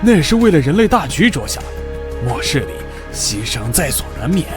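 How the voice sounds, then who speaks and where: A man's voice: fairly high pitch, sing-song, normal speed, over music. A man playing a nervous official in a motion comic, placating and pleading. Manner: pleading, appeasing. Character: nervous, placating male official excusing wrongdoing. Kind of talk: dramatic Chinese motion-comic voice acting.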